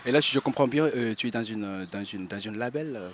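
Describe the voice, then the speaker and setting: A man asking casual interview questions, halting and rephrasing them as he goes. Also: mid-range voice, a little hiss or hum.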